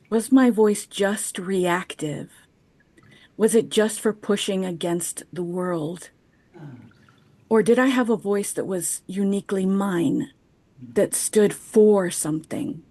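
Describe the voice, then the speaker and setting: Woman, 50s, very slow pace, reflective chapel talk, poetic, dry video-call mic. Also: fairly low pitch, mellow, dry recording. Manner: measured, poetic, unhurried delivery. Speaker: chapel speaker. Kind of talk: reflective personal chapel talk, read aloud. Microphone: video call microphone.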